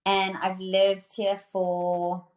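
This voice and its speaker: A woman's voice: medium pitch, completely flat delivery, extremely slow, poor audio. Chatty woman in her thirties. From South Africa. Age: 30s. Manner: chatty, enthusiastic, many fillers and restarts. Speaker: guest, nutrition author. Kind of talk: casual interview conversation.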